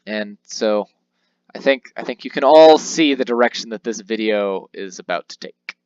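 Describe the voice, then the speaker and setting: A man's voice: on the low side, animated, normal speed, darkish tone, smooth, dry recording, highs cut off. A young man casually commenting on his playthrough of a handheld game, rambling and poking fun at himself. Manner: rambling, self-deprecating, reads game text aloud in voices. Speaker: let's play host.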